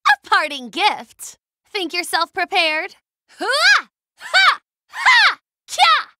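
A woman's voice: very high voice, huge pitch swings, extremely slow, sharp tone, slight background noise, exaggerated voice. A woman voicing a haughty young noblewoman mage in a video game, theatrical and proud, with formal, lofty diction. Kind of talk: video game battle voice clips.

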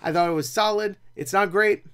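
A young man reviews movies in a voice pitched a little above average. He talks casually and without a script, rambling along with animated asides and a self-deprecating streak. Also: brisk, smooth. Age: young adult. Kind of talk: casual unscripted review chat. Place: small cluttered room at home, indoors.

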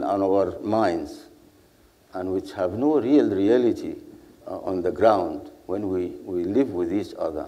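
Very deep older Sudanese man, echoing hall. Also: dark tone. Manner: measured, deliberate, rhetorical repetition. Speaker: panelist. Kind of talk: panel speech, earnest public address. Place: seated on a stage in a large hall. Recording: echoey hall with long reverb.

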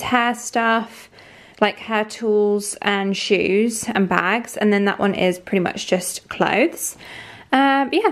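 A woman's voice: mid-range voice, roomy sound. A woman in her thirties chatting casually to camera as a vlogger, upbeat and filling her speech with "like" and "um". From England. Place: bedroom at home, then inside a car. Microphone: camera held at arm's length or set nearby.

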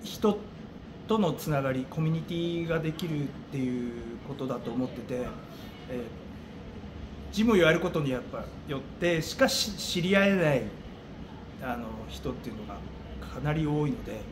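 Slightly high-voiced man over noisy ambience. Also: animated. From Japan. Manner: hesitant, thinking aloud with many pauses. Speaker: gym owner and martial arts coach. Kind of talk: interview answers. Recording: clearly noisy room ambience.

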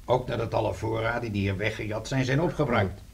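A man's voice: on the low side, a little fast, vocal fry. An actor playing a sardonic, scheming man with a drawling manner, in a radio drama. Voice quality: sardonic, drawling, full of ideas. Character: sardonic, scheming man, a friend starting a business.

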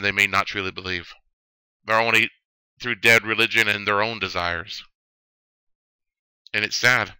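A man in his late thirties giving a solemn religious testimony, slow and preaching, with sudden stressed words. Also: mid-range voice, sharp tone, clear, resonant tone, dry recording, poor audio. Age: 30s. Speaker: video testimony speaker. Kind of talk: earnest religious testimony monologue.

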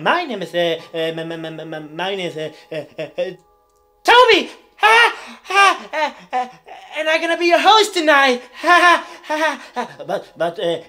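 An aging, wounded circus clown in a theatrical monologue. The pitch leaps about while the words come slowly, snagged on a heavy stammer and broken by nervous giggling. He sounds eager and unsettling, with music playing behind him. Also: pushed and bright, exaggerated voice. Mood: manic excitement, then nervously playful. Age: older.

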